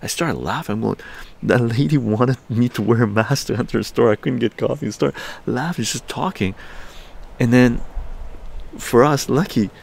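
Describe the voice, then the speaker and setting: An older man from Canada, livestreaming from his outdoor patio, telling a story in an animated, laughing way with crude language. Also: normal speed, creaky. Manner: animated, laughing, swearing, acts out quotes in higher voices. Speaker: livestream host. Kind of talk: casual storytelling to a live chat.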